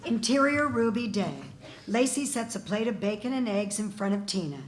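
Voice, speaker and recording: A woman's voice: medium pitch, normal speed, background noise. A middle-aged woman reading stage directions as scripted narration at a live table read on a stage microphone. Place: theatre stage before an audience. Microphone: microphone on stand.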